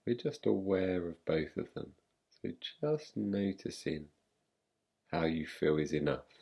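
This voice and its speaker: A man with a very low, gravelly voice leads a guided meditation. He speaks very slowly and gently, letting long pauses fall between phrases. His delivery is calm and unhurried, with a creaky edge to the deep tone. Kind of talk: guided meditation, yoga nidra.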